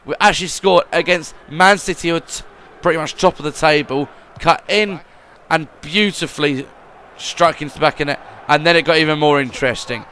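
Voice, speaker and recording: A man narrates his football video game matches as a voice-over, rambling and repeating himself as he goes. His voice sits a little high for a man. It sounds bright and forceful. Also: a little fast, hardly any pauses. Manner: rambling, repeats words, swings between gloom and excitement.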